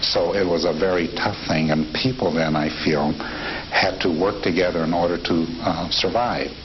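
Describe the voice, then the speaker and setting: A man's voice: deep voice, normal speed. A man in his fifties from Nebraska, recalling his childhood in a reflective interview, with frequent fillers and restarts. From Nebraska, USA. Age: 50s. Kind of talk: relaxed oral history interview. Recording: dull lo-fi tape with steady hiss.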